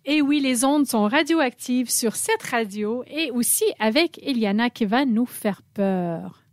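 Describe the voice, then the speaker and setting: A woman's voice: on the high side, lively intonation, measured pace. Teasing woman radio co-host. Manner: teasing, laughs often. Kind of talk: playful radio banter.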